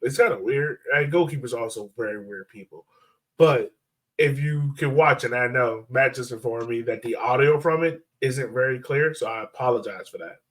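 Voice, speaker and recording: A man's voice: mid-range voice, moderate pace, low-quality recording. A man hosting a sports podcast in casual discussion, thinking aloud in an informal, conversational way.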